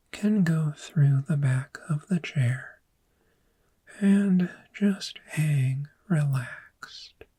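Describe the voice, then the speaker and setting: A woman voicing a calm, patient dragon therapist in a gentle, breathy, soft-spoken ASMR roleplay, with measured, reassuring instruction. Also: deep voice, exaggerated voice. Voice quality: breathy, whispery.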